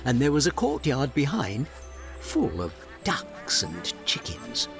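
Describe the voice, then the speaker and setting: A man narrating a fairy tale audio drama in a measured, dramatic storybook style. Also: mid-range voice, huge pitch swings, music in the background.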